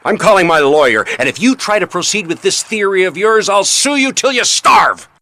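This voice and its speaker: An actor playing an evasive, indignant businessman in a radio mystery, halting and defensive under questioning. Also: high voice, animated, bright, forceful tone. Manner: halting, defensive, blustering when challenged. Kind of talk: radio mystery drama acting. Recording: noisy radio drama recording.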